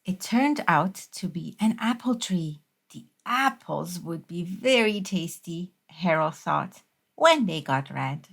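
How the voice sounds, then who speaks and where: A woman reading a children's picture book aloud as a storyteller, in a measured, expressive way. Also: lowish voice, creaky. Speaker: storybook reader.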